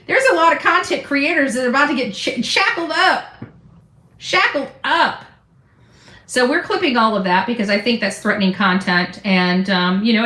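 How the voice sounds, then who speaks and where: High-voiced, blunt woman in an echoey room. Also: fairly bright tone. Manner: blunt, defiant, combative, salty slang. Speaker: YouTube true-crime commentary host. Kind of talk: opinionated live commentary, informal ranting. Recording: echoey, roomy sound.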